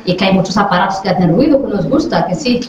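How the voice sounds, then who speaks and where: A woman's voice: medium pitch, expressive, fast talker, a crowd in the background. Echoey, distant middle-aged woman talking to children. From Western Sahara. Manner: friendly, asks the children questions to check they follow. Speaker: dentist visiting a school class. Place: classroom. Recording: very echoey, far from the mic, dull lo-fi sound.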